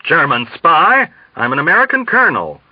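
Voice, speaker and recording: A man's voice: on the high side, wildly swooping pitch, moderate pace, husky, no room sound, highs cut off, voice pushed up, exaggerated voice. A man reading a novel as an imperious, blustering male army colonel, barking and indignant. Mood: indignant, alarmed.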